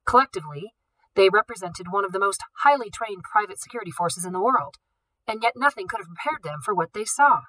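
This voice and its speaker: A woman reading a novel aloud, steady and expressive. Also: mid-range voice, fairly quick, no room sound, low-quality recording. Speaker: audiobook reader.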